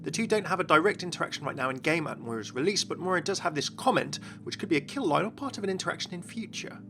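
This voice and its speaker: A man's voice: fairly high pitch, expressive, fast, gravelly, bright, forceful tone. A man presenting game lore as an enthusiastic scripted video narration. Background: music.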